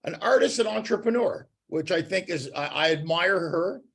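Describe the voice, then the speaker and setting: A Canadian-accented man co-hosts a casual podcast in a fairly high voice. He cracks jokes and rambles, restarting his sentences as he goes. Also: animated, normal speed, gravelly, fairly bright tone, husky. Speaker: podcast co-host. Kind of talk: casual joking chat.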